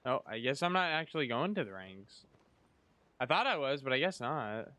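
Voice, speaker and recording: A man's voice: mid-range voice, very animated, normal speed, sharp tone, no echo. A young man giving casual gameplay commentary as a streamer, rambling and thinking aloud as he plays. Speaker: gaming streamer.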